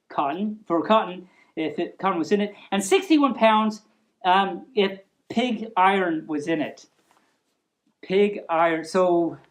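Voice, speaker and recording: A woman hosting a storytime, reading aloud in a playful manner with casual asides. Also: fairly high pitch. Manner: playful, chuckling asides between formal reading. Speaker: storytime host.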